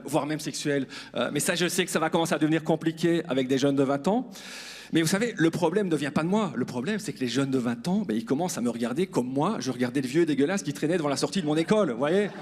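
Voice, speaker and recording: Guy in his 40s doing standup, talks fast, kinda high voice, chats to the audience, pokes fun at himself. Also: a little mellow. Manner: self-deprecating, addressing the audience directly. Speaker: stand-up comedian. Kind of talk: stand-up comedy monologue to an audience. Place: live comedy club stage.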